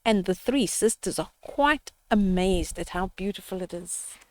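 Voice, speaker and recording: A woman's voice: medium pitch, animated, a little slow. An older woman reading a children's story aloud, expressively, with explanatory asides and questions to young listeners.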